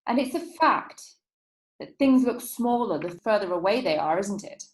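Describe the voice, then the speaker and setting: A woman's voice: medium pitch, expressive, normal speed, natural voice. Woman playing a crafty defence lawyer, echoey room. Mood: leading, insinuating. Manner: formal, insinuating. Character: crafty, insinuating female defence lawyer in 1910s court. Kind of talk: theatrical courtroom play acting.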